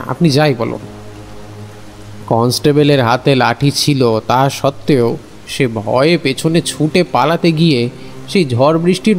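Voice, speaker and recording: A man's voice: fairly high pitch, voice pushed up. A man voicing a plain-spoken, earnest villager who recounts frightening events in an audio story.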